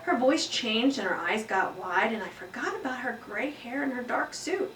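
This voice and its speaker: A woman voicing a small, earnest pet hamster who tells his classroom story with expressive, emphatic delivery. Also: a little high, normal speed. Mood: excited, spooked. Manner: expressive, exclaiming, emphatic read-aloud delivery. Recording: roomy echo, distant mic, faint noise.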